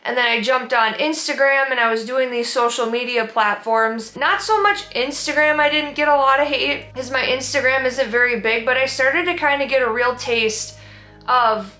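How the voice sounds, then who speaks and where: A young woman with a Minnesotan accent speaks in a sit-down vlog, candid and reflective. Her voice sits a little high and rings bright and forward. The room around her is audibly echoey. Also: moderate pace, faint music behind. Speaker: vlogger. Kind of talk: sit-down personal talk.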